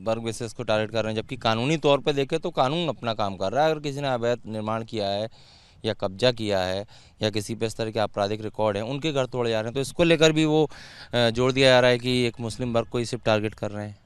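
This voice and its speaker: Fast, crisp-voiced male reporter. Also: mid-range voice, no room sound. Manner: pointed, pressing follow-up questions. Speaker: reporter, interviewer. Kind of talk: news interview questions.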